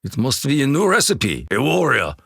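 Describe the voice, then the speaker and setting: An actor playing a solemn, honor-bound Japanese warrior in a video game, with a Japanese accent and a grave, formal, measured delivery in a gravelly, husky voice. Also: male voice, mid-range voice, huge pitch swings, fairly bright tone, no room sound, clean studio sound, exaggerated voice. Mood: formal, ceremonious. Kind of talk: scripted video game character quote lines.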